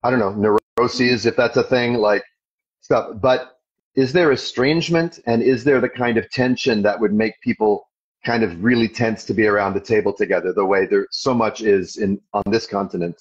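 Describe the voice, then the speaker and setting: Forties male host, husky and a bit low, chatty and rambling, lo-fi home livestream sound. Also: normal speed. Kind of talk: casual chat while cooking. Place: home room with kitchen. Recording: dull lo-fi livestream audio.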